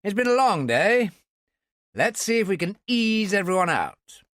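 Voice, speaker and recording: A cool, clipped gentleman schemer, brushing matters aside, as a narrator puts him on. He sits higher than the reader's usual voice, crisp and dry-recorded. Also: sing-song, a little slow, no room sound, heavily acted. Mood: dismissive.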